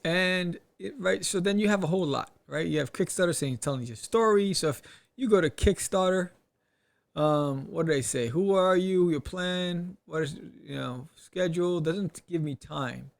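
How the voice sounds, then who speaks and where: A man hosting a podcast, giving casual advice and reading aloud with his own commentary, animated and punctuating points with rhetorical questions. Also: high-pitched, normal speed, gravelly. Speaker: podcast host.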